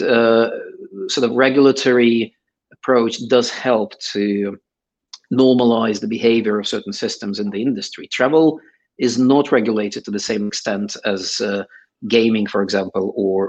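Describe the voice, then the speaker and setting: A man's voice: fairly low pitch, fairly even intonation, normal speed, breathy edge, rough recording. A middle-aged man giving interview answers in a hesitant way, with many fillers and restarts. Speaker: guest.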